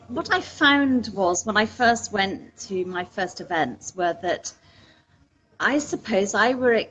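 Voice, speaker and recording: A woman who coaches speakers, talking as a guest on a video call in a lively, expressive way. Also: a little high, poor audio. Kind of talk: casual video call interview.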